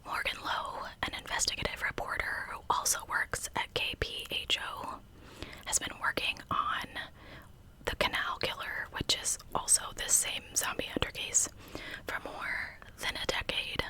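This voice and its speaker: A woman hosting an ASMR channel, narrating in a breathy, intimate whisper with casual asides. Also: a little slow, sharp tone, rough recording. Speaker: host of a true crime ASMR channel.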